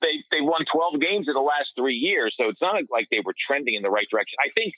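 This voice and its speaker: A man's voice: medium pitch, expressive, fast talker, no echo. A man giving casual, conversational sports analysis as a podcast co-host, on lo-fi audio. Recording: dull, lo-fi remote audio.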